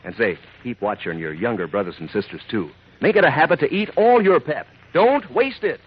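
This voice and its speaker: An American man doing a peppy, folksy, emphatic 1940s radio advertising pitch aimed at children. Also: expressive, fairly quick, noisy background. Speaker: commercial announcer. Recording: dull lo-fi 1940s radio recording.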